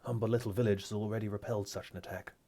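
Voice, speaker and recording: A man voicing a formal male knight giving a measured briefing in a tabletop game. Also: on the low side, fairly even intonation, mellow. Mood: grave.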